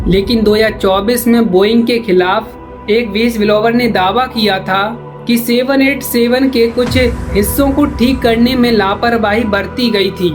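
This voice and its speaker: A woman delivers scripted documentary-style news narration. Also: on the high side. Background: background music.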